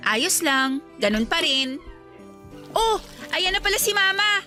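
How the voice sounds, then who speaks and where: A woman plays a friendly student, the younger sister in a Filipino radio drama. Her voice is high and bright. She sounds eager and reassuring, with light music behind her. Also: very animated, unhurried, heavily acted. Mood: reassuring, helpful.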